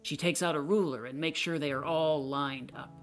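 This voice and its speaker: Low-voiced woman narrating over music. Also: steady tone, normal speed. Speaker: narrator reading stage directions. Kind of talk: scripted narration.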